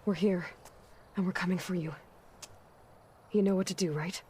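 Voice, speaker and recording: A woman's voice: on the low side, fairly even intonation, normal speed, background noise, close to an everyday voice. A woman playing a determined young warrior in a video game, terse and commanding as she leads a rescue mission.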